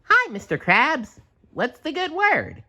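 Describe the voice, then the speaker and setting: A man voicing an excitable, earnest cartoon sponge fry cook in a squeaky, exaggerated storybook voice. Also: slow, heavily acted. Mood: cheerful.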